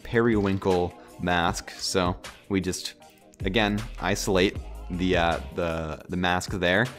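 Low-voiced man with a stuffy cold. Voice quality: nasal, voice cracking. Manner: rambling, filler-heavy, laid-back. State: sick with a cold, sore throat. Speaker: tutorial host. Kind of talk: casual screen-recorded software tutorial.

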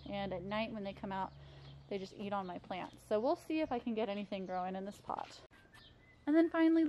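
A woman in her thirties giving a casual, chatty garden tour, talking affectionately as she goes. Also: on the high side. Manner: chatty, affectionate talk about plants. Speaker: home gardening vlogger. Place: outdoors in a home backyard garden. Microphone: handheld camera. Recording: clear background noise outdoors.

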